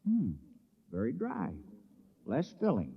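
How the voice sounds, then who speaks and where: A naive, reluctant small-town man in a 1950s radio comedy of science fiction. He sounds folksy and puzzled, with a middling pitch that swings very widely. The recording is dull and old. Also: huge pitch swings, slow, creaky, husky, full character voice. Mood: earnest, baffled.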